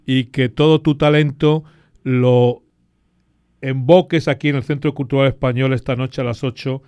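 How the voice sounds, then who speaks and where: A man's voice: medium pitch, steady tone, normal speed, a little mellow, smooth, no echo. Male interview host, close dry sound. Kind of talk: conversational interviewing.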